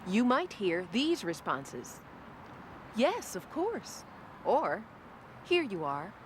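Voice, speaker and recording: A woman hosting a language lesson in careful, slow, teacherly scripted narration. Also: mid-range voice, wildly swooping pitch, background noise. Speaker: lesson host. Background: music.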